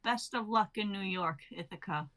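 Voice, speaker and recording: A woman's voice: mid-range voice, normal speed, sharp tone. A woman reading the part of a breezy, cryptic female stranger in a stage play, casual and teasingly evasive. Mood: cryptic, assured.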